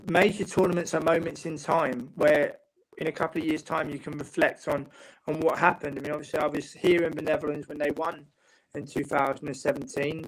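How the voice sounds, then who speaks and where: A man from England, a football writer and guest, talking in a calm, measured way with long explanations. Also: on the high side, low-quality recording. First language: English. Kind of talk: casual panel chat.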